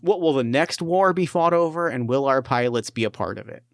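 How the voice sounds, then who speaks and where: A man's voice: medium pitch, animated, fairly quick, dry recording, clean studio sound. A man running a tabletop role-playing game, talking casually with lots of fillers and restarts. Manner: rambling, many fillers and restarts. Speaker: game master. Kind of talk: casual tabletop play and narration.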